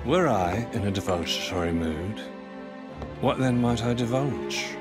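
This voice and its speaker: An actor playing a swaggering, roguish pirate captain with a theatrical, mock-grand way of speaking. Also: male voice, on the low side, animated, unhurried, over loud music. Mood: sly.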